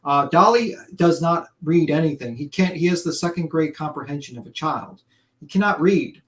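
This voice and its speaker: Slightly high-voiced man, rambling and mocking. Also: lively intonation, moderate pace. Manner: rambling, filler-heavy, mocking. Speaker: livestream panelist. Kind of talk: heated panel chat.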